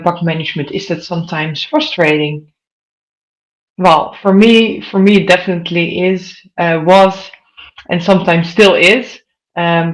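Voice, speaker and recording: A woman's voice: fairly low pitch, rough recording. A woman in her thirties from the Netherlands with a Dutch accent, giving an online conference presentation and putting questions directly to her audience. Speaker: conference speaker.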